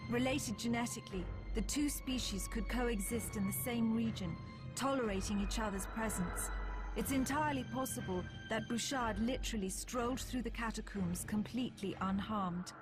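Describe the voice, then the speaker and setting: A fairly high female voice of an actress as a grave war chronicler in a video game. The narration is formal and measured, with clear music underneath. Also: natural voice. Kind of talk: scripted game cutscene narration. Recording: music bed behind narration.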